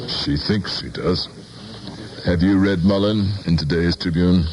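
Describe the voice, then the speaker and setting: A man's voice: deep voice, measured pace, darkish tone, rough recording. An actor in a 1970s radio play, playing a weary, self-doubting politician in his sixties who speaks in a pensive, formal way. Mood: brooding, guarded. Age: 60s. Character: sixty-year-old male politician, weary, brooding, self-doubting. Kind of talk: 1970s radio drama acting. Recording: vintage radio broadcast, music behind.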